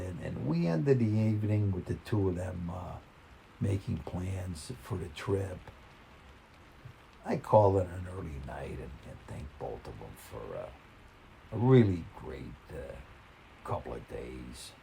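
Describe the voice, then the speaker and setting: Low, slow, gravelly storyteller. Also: male voice, expressive, mellow, slight background noise. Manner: halting, conversational, folksy delivery. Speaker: storyteller reading own short story. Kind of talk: folksy first-person story reading.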